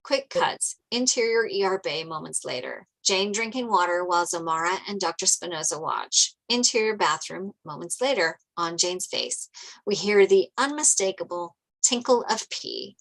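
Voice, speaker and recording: A woman's voice: medium pitch, lively intonation, moderate pace, fairly bright tone, low-quality recording. A woman reading stage directions as narrator at a script table read.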